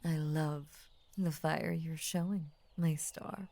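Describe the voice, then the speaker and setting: A woman voicing an ancient, possessive and adoring lamia in an intimate roleplay audio, speaking softly in grand formal phrases that often drop to a near-whisper. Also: deep voice, fairly even intonation, takes it slowly. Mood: teasing, admiring.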